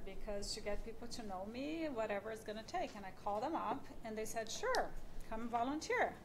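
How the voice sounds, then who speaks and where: Brazilian woman panelist, native Portuguese speaker, lightly high pitch, animated conversational storytelling, faint noise behind. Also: poor audio. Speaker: panelist, journalist. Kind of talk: casual personal storytelling at a panel discussion.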